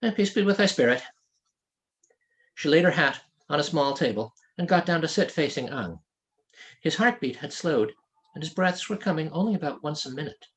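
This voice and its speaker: A man narrates a fantasy story as its author. His voice is a little high for a man and moves widely in pitch, giving the scripted prose an expressive shape. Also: brisk. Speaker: author reading his own novel.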